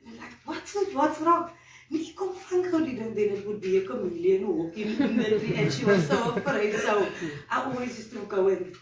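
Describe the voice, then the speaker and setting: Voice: mid-range voice, lively intonation, normal speed, a crowd in the background. A woman, a citizen journalist speaking to a community gathering with a hesitant manner and many fillers. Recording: echoey room, distant mic, background noise.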